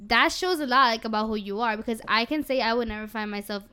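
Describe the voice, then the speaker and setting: A woman's voice: on the high side, quick pace, pushed and bright, slight background noise. A teenage girl co-hosting a casual student panel chat, explaining her views at length in an earnest way. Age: teens. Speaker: student co-host.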